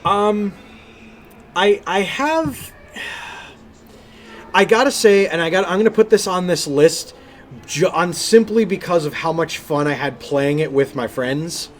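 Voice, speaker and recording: A man co-hosting a gaming podcast, talking casually and with animated enthusiasm about games. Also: sits high, unhurried, pauses often, slight background noise. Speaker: podcast co-host.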